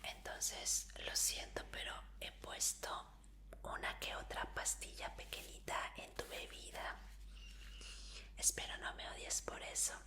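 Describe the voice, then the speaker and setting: An obsessive female fan murmurs to her captive idol, as a woman whispers the part, pleading and apologetic in a slow, unhurried hush. The whisper has a bright, crisp edge. It comes across as a sweetly menacing roleplay. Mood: coaxing.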